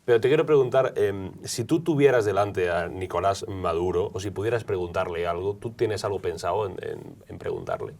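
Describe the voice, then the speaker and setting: Male interviewer, low voice. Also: fairly quick, hardly any pauses, a little mellow. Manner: brief prompts and follow-up questions. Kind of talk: interview questions.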